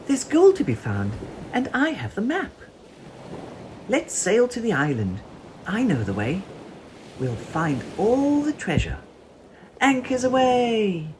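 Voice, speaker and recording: A woman voicing a defiant, excitable, imaginative little girl in a children's storybook, boastful and theatrical. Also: medium pitch, takes it slowly, pauses often, background noise, voice pushed up. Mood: defiant, adventurous.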